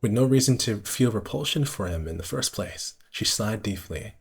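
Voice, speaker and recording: Guy streamer with a lowish husky voice, reading stuff out loud casually, bit of quiet music behind. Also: expressive, normal speed. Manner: stumbles over words and rereads phrases while narrating. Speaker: streamer reading a visual novel aloud. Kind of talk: amateur read-aloud narration with casual commentary.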